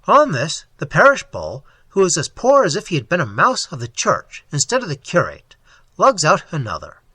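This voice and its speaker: A man's voice: a little high, huge pitch swings, normal speed, sharp tone, husky, dry recording, exaggerated voice. A man voicing a jovial, coarse, talkative policeman telling tales in slang for an audiobook. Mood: relishing tale. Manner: slangy, rambling, relishing his own tale. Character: jovial cockney policeman, coarse, talkative, fond of grisly anecdotes. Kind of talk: audiobook character voice.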